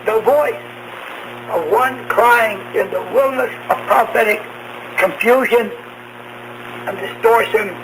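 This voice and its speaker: A man preaching a radio sermon in slow, halting phrases, posing rhetorical questions. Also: sits high, gravelly. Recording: noisy humming shortwave radio broadcast.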